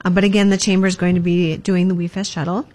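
A woman's voice: lowish voice, little pitch movement, fast talker, a little mellow. A woman from the chamber of commerce giving upbeat community announcements, with plenty of ums. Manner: upbeat, filler-heavy, promotional. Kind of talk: community announcements in casual chat.

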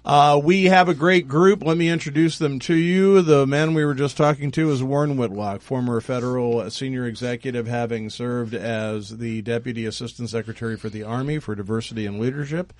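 A man's voice: mid-range voice, a little fast, few pauses, no echo. Chatty male radio host, dry studio sound. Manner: chatty, rambling, self-deprecating. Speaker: radio panel show host. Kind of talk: casual morning radio panel chat.

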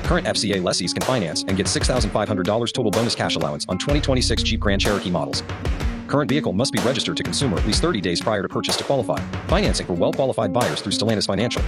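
A man reading a car commercial with a rapid-fire legal disclaimer. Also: fairly low pitch. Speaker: ad announcer. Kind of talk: rapid scripted ad read.

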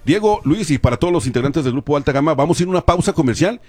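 Fast-talking man in his forties hosting. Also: on the high side, lively intonation, a little mellow, no echo. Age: 40s. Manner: upbeat, slangy, joking. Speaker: radio show host. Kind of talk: casual interview.

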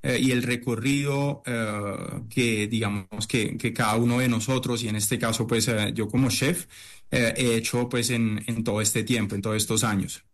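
A middle-aged man from Italy talks through his answers in a halting, searching way. He pauses often on fillers and restarts his sentences, with a voice of ordinary pitch for a man. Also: steady tone. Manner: hesitant, many fillers and restarts. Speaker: chef and restaurant owner. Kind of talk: interview answers.

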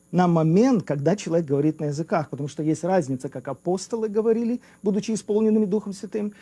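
A man's voice: a little high, mellow, slightly rough. A man in a theological debate, raising polite objections and pressing questions.

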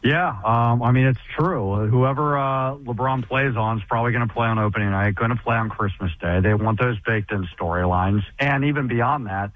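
A man co-hosting sports talk radio, known for his loud voice, breaking down options in a measured, analytical way. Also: mid-range voice. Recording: dry, phone-like radio audio.

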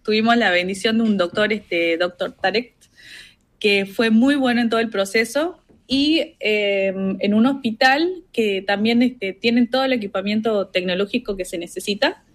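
A young woman from northern Argentina with an Argentine accent, speaking as a relaxed interview guest, full of "este" fillers. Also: medium pitch, normal speed. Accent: Argentine Spanish. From Argentina, Salta, Tartagal. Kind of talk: casual interview by call. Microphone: video call.

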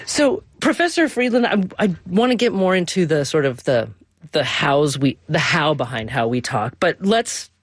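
A woman's voice: deep voice, sing-song, moderate pace, husky, dry recording, clean studio sound. A woman speaking as a radio host in a conversational broadcast interview.

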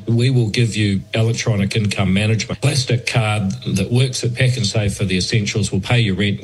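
A man lays out a policy in a direct, plain-spoken way. His voice sits low, and the clip has the feel of a news grab. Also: poor audio. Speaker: party leader.

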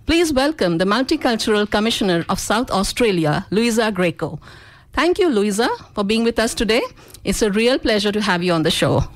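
A woman hosting a radio interview show in a studio, welcoming and chatty, with many uhs and quick yeps. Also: mid-range voice, animated, no echo. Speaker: radio host.

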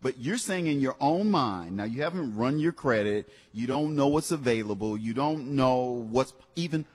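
A man's voice: medium pitch, animated, normal speed, a little mellow, husky. A man hosting live promotional radio for a car dealership in a conversational, encouraging sales manner. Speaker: radio host. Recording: long hall-like reverb.

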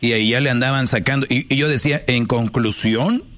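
A man's voice: mid-range voice, a little fast, fairly bright tone. Male talk host for a phone-in program: opinionated, informal, street-slangy and direct, ready to push back. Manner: blunt, confrontational, slangy. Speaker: talk show host taking calls.

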